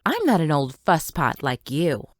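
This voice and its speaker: A man's voice: a little high, wildly swooping pitch, a little slow, heavily acted. A man voicing a cheeky, boastful young female diesel engine in a storybook reading.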